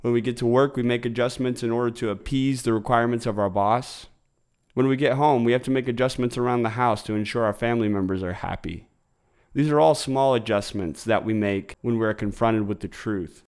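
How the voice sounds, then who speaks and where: A man's voice: medium pitch, a little fast, darkish tone, smooth, dry recording. Man host, earnest, preacherly, dry close sound. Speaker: host and interviewer. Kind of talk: sermon-like intro then interview.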